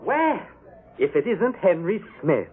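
A prim, genteel henpecked husband confides smugly, his pitch swooping excitably. It is old radio drama, narrow in band with some background noise. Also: slow, full character voice. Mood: coyly pleased. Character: mild, prim henpecked man, smugly secretive. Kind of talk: 1950s radio acting. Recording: noisy, narrow-band vintage radio recording.

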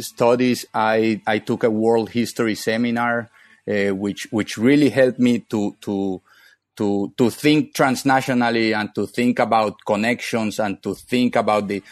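A man's voice: mid-range voice, dry recording, clean studio sound. A man from northern Colombia, a historian being interviewed about his book, who often stops and restarts his sentences. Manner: halting, many repeated words and restarts. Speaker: historian, author and guest. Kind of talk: academic interview.

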